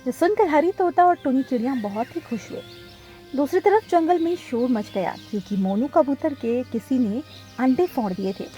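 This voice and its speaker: A woman's voice: sits high, animated, moderate pace, mellow, faint music behind. A woman narrating a children's cartoon story from a script.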